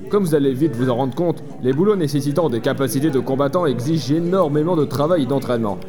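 A man acting as an easygoing innkeeper in a fantasy audio fiction. He explains things in a plain, matter-of-fact way at a middling pitch. Music plays clearly behind him. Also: natural voice. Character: easygoing innkeeper player who shuns heroics. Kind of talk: French audio fiction dialogue.

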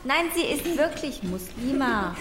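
A woman's voice: sits high, animated, measured pace, a bit bright, slight background noise. An actress playing a frank, cheeky, chatty teenage schoolgirl in a radio play. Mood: excited gossip. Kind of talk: German radio play acting, youth slang.

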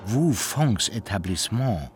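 A man narrating a satirical radio play as a wry, measured storyteller who addresses his listeners. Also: fairly low pitch, sing-song. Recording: music behind the voice.

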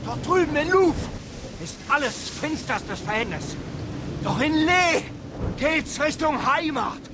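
An actor playing an anxious ship's mate in a radio play, urging and pleading over the storm. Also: male voice, very high-pitched, sing-song, slow, heavily acted. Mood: urgent warning. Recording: noisy radio play recording.

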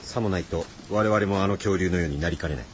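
A man's voice: low voice, quick pace, natural voice. An actor playing a courteous, pedantic scholarly man in a radio drama, explaining things in polite, old-fashioned phrases. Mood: grave, explanatory. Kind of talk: Japanese radio drama acting. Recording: dull audio with faint background noise.

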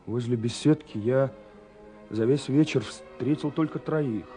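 An actor as a young dreamer in an old Soviet radio play, pouring out his longing like a spoken letter. His voice is soft-edged and mellow, halting between phrases with dramatic pauses. Faint music drifts behind him. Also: medium pitch, natural voice. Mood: agitated, dreamy. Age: young adult. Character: earnest young romantic man searching for a mysterious girl.

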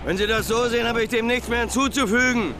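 An actor playing a gruff, frank ship's captain who barks orders in an audio drama. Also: male voice, very high voice, fast talker. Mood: grave, frank. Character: gruff male ship's captain, frank, hot-tempered seaman. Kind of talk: German audio drama acting. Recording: loud sea and boat noise behind.

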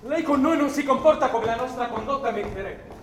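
An actor playing a curt arresting officer who gives clipped, condescending commands in a theatrical radio drama. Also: male voice, very high voice, a little fast. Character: bossy, curt arresting officer barking orders to undress. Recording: echoey room, faint music behind.

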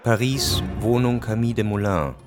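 A man narrating a radio play, reading scripted literary prose in a measured, dramatic way. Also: lowish voice, music in the background. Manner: measured, literary, present-tense storytelling.

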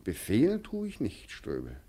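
Lofty, condescending police chief, animated voice. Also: male voice, lowish voice, moderate pace, slightly rough, full character voice. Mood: condescending, evasive. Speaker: actor. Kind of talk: German radio play acting, satirical comedy.

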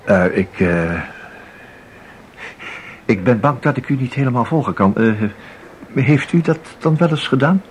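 A man's voice: medium pitch, lively intonation, unhurried, pauses often, a little hiss or hum, lightly acted. An actor playing a timid, polite, flustered man in a radio drama, hesitating and stammering as he repeats himself. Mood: hesitant, embarrassed. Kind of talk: Dutch radio drama acting.

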